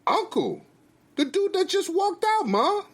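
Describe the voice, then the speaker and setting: A man's voice: fairly low pitch, big pitch swings, unhurried. A man voicing a candid son who talks frankly to his parents in casual slang. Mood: curious.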